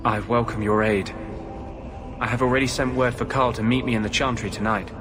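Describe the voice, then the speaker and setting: An actor voicing an earnest, defiant mage healer with a measured, intense delivery in a dramatic video game. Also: male voice, mid-range voice, fairly even intonation, lightly acted. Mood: earnest, determined. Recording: music behind the voice.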